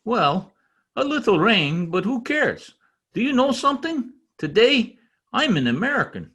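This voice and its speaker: An earnest immigrant tradesman from a radio play, with a foreign accent and halting simple English. The voice is husky and high, swinging widely with proud excitement, and takes its time. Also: heavily acted. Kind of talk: old-time radio comedy acting.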